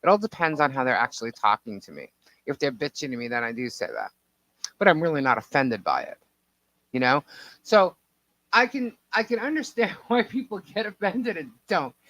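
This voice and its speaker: A woman with a deep voice co-hosting a casual podcast, talking emphatically and at length. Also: big pitch swings, normal speed, fairly bright tone, no echo, low-quality recording. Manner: emphatic, talks at length, repeats herself. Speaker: podcast co-host. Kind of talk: casual opinionated chat.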